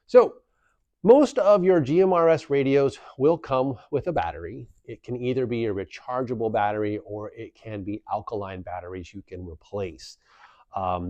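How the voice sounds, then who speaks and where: Male instructor, 40s, lowish voice, plain reassuring explainer delivery, slightly dull lapel-mic sound. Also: a little mellow, no echo. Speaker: instructor presenting a community video series. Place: small plain office room, indoors. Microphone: lavalier clipped at the collar.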